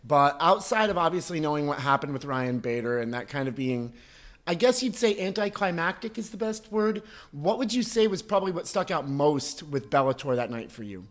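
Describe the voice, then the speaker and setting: A man with a somewhat high voice hosts a casual podcast. He laughs easily and talks through long, winding questions to his guest. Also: expressive, fairly quick. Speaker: podcast host.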